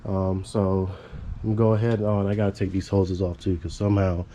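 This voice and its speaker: A man's voice: sits low, flat delivery, a little fast, warm tone, dull audio, a little hiss or hum. A man with a head cold, stuffy and congested, casually rambling through a car build in a garage. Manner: laid-back, rambling, casual swearing.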